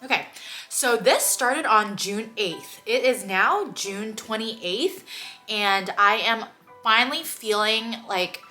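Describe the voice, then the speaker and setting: Young woman vlogging, bright and kinda high, stuffy nose, lots of likes. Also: soft background music, rough recording. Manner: chatty, many "like" fillers, quick laughs. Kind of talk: casual chat to camera.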